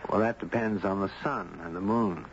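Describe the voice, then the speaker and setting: A man playing a courteous, curious storyteller, gentle, thoughtful and well-spoken, in a muffled, noisy 1970s radio drama. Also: low-pitched, normal speed. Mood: friendly, inquiring. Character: courteous, curious man, narrating his own strange tale.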